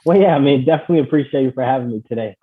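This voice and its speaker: A man's voice: medium pitch, very fast. A man from Ghana, a guest on a call, telling his life story in an earnest, conversational way, full of "you know" and "basically.". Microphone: call audio. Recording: tinny phone-like call audio.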